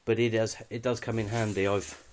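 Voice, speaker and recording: Low-pitched British man, casual gear walkthrough. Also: level tone, brisk, a little mellow, a little hiss or hum. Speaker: hobbyist presenter showing radio kit.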